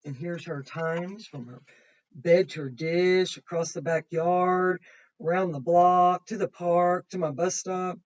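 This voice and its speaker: A woman's voice: lowish voice, fairly even intonation, slow, a little mellow. A woman kindergarten teacher reading a picture book aloud to young children, with simple explanations and chatty asides. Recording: muffled, distant microphone.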